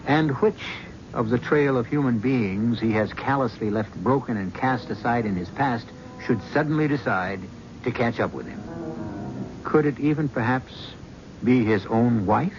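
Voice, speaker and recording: A man hosting and narrating an old radio mystery drama in a measured, wry, theatrical manner. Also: medium pitch, noisy background. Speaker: host narrator. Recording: dull old radio broadcast with music.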